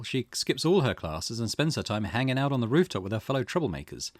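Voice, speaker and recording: A man hosting a gaming video, reading visual novel text aloud as expressive narration. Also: medium pitch, no echo.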